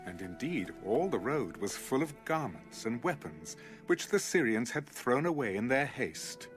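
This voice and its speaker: A man's voice: mid-range voice, very animated, a little slow. A man narrating scripture as a dramatized audio production. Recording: music under the voice. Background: background music.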